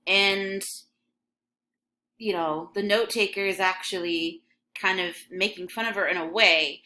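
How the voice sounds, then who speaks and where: A woman's voice: medium pitch, fairly even intonation, measured pace, pushed and bright. A young woman from Michigan with a slight Michigan accent, teaching an English lesson in a casual, chatty way full of ums and likes. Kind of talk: recorded classroom lesson over a scrolling text.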